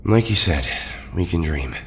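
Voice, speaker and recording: A man playing a steady young team leader, wry and sympathetic, in an audio drama. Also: sits low, a little slow, full character voice.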